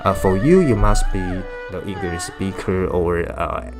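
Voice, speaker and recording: A man whose first language is Chinese, giving a casual language-learning talk in English, halting with frequent fillers and restarts. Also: low voice, lively intonation, over music. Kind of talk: casual talking-head explainer.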